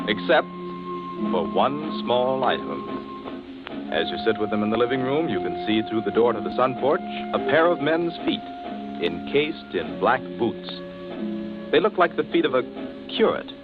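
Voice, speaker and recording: Male announcer, lively dramatic reading, tinny broadcast sound, loud music bed. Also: mid-range voice, normal speed. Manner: dramatic, emphatic. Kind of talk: 1940s radio announcing. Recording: lo-fi old radio broadcast with music.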